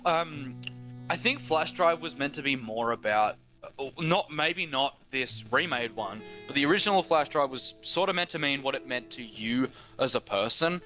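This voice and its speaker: A man's voice: mid-range voice, animated, moderate pace, bright, forceful tone, soft background music, low-quality recording. An eighteen-year-old Australian man chatting casually as a guest, rambling with lots of fillers and restarts. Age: teens. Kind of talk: casual chat.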